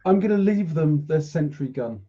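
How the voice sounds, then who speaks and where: A man's voice: on the high side, animated, moderate pace, warm tone. A man talking casually as a tabletop game player, on a slightly lo-fi recording.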